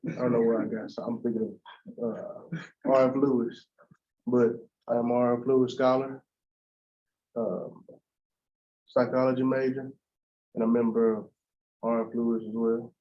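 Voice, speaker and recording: A man's voice: mid-range voice, flat delivery, a little slow, pauses often. A male psychology student introducing himself, who corrects himself and apologizes along the way. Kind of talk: self-introduction at a group meeting. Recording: muffled lo-fi sound.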